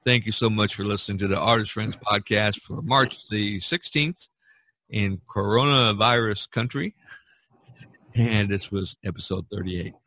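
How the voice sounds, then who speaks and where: Male podcast host, rambling and easygoing, low voice, dry close sound. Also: a little slow, bright, forceful tone, dry recording. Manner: rambling, easygoing, laughs often. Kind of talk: casual chat among artists.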